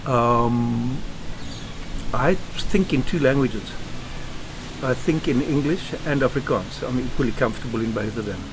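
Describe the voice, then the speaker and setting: A South African man giving measured, emphatic answers as a podcast guest. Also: mid-range voice, background noise. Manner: measured, emphatic, speaks in short firm statements. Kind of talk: earnest interview answers. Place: home.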